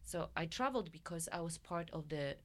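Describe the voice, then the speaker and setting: A woman's voice: low voice, lively intonation, fairly quick. A middle-aged woman from Poland with a Polish accent, chatting effusively as an interview guest. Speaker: podcast guest, musician and coach. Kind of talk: casual interview chat.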